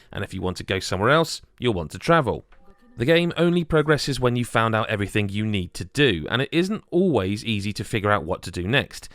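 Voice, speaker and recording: A man's voice: medium pitch, a bit bright, dry recording. A man reading a scripted video game review as the reviewer.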